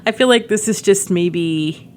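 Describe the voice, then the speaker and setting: A woman's voice: mid-range voice, a little slow. A woman giving casual game demo commentary, chatty and informal, often thinking aloud as she goes. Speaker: gaming video creator.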